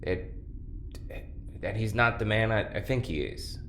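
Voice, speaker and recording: An actor playing a hesitant, conflicted, vulnerable man in an audio drama, speaking in a halting, unsure way. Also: fairly low pitch, fairly bright tone. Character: hesitant, conflicted, vulnerable young person, loyal friend. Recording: roomy, faint noise.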